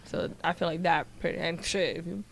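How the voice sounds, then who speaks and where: A woman on a radio panel, speaking in an animated way and drawing out her phrases. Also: lowish voice, a little fast, gravelly. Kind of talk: casual interview chat.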